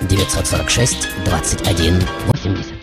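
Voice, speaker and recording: A man playing a passionate, brooding composer who tells his life in a dramatic, poetic radio monologue with deliberate pauses. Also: low-pitched, lightly acted. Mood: brooding, solemn. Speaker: radio show host. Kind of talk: theatrical radio monologue over music. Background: music.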